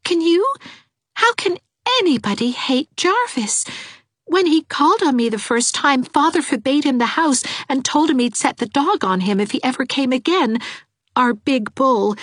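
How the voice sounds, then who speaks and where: A woman's voice: high voice, no echo, studio quality, pitched above the performer's own voice. A woman voicing a timid, tearful young woman, sweet and coaxing, in a pleading, tremulous manner. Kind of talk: audiobook character voice.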